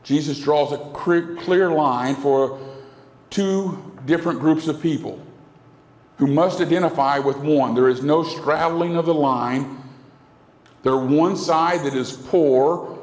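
A middle-aged man preaching a sermon at a pulpit microphone in a church, earnest and repetitive, with broad gestures. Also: on the high side. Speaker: pastor. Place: church sanctuary, indoors. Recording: echoey hall from a far camera.

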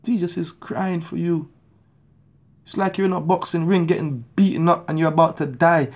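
Fervent gravelly Jamaican-born preacher. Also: male voice, a little high, steady tone, normal speed. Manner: fervent, urgent pleading. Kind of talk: testimony and street preaching to camera. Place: small indoor room at home. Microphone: phone camera at arm's length.

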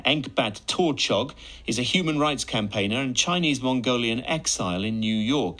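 A man presenting broadcast news, introducing the story and asking the guest pointed questions in a measured way. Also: medium pitch, a bit bright, rough recording.

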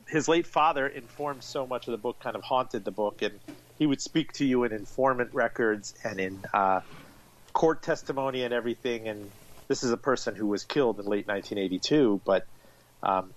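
Animated male author telling a story. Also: lowish voice, moderate pace, low-quality recording. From grew up in Miami, born in Iran. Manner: fluent, animated storytelling with restarts. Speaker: author, business journalist, guest. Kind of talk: interview guest.

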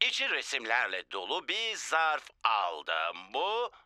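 Jolly cartoon potato TV star, grand exclamations, slow pacing, very animated melody. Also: male voice, mid-range voice, no echo, studio quality, full character voice. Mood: upbeat, coaxing. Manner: grandly enthusiastic, exclamatory presenter delivery. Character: jolly mustached talking potato, children's TV fitness star. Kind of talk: cartoon dub.

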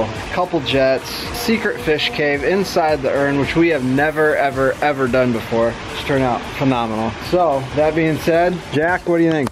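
A man in his thirties filming himself in a backyard, narrating with energetic, hype-man enthusiasm. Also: mid-range voice, rough recording. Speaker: crew lead filming the job. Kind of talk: upbeat on-site vlog narration. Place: outdoors in a backyard. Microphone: handheld camera held at arm's length. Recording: outdoor noise.

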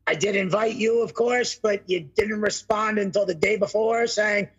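Raspy young man on a phone mic. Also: high voice, fairly even intonation, normal speed, dull audio. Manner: loud, joking, cursing freely. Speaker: podcast co-host. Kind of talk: casual chat. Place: home room on video call. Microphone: phone mic, no headset.

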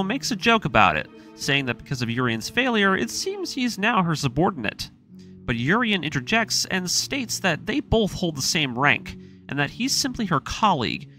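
A man's voice: a little high, bright, forceful tone. A man reading a scripted story recap as the narrator of a series. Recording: voice-over with music underneath. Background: music.

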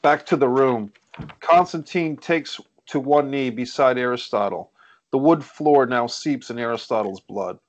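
Male table-read host and narrator, mid-pitched, muffled lo-fi video call audio. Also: a little slow. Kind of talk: reading stage directions, casual moderating.

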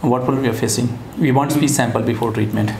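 Indian guy, middle-aged therapist doing a formal interview, talks fast, bit of noise. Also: mid-range voice, a little mellow. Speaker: speech therapist interviewing a patient. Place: small indoor clinic studio. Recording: echoey room with background noise.

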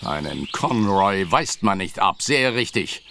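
A man's voice: sits low, expressive, a little slow, creaky, bright, forceful tone, slight background noise, heavily acted. An actor playing a cruel, middle-aged aristocratic father in a German audio drama, speaking with scornful command. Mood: contemptuous anger. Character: imperious, cruel aristocratic father, contemptuous and domineering.

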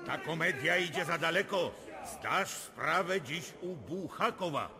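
An actor playing a stern, threatening politician in a radio drama, speaking imperiously. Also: male voice, on the high side, animated, unhurried. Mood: menacing. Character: stern, threatening male political opponent in parliament. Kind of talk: theatrical radio drama dialogue. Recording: reverberant hall with crowd.

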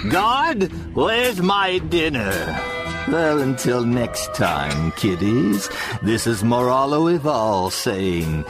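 A man's voice: medium pitch, sing-song, slow, over music. A man voicing a sinister elderly alien villain who tells macabre bedtime stories in a theatrical, mock-sweet manner, savoring each word. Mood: gleefully menacing. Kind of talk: theatrical storytelling, audio comedy sketch.

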